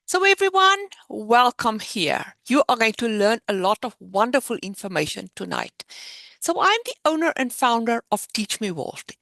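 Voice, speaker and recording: A woman with a South African accent presents her program over a video call with upbeat, emphatic energy. Her voice sits fairly high and swoops lively up and down, with a gravelly edge. The sound is dry and close. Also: normal speed, no echo. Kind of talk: virtual fair presentation.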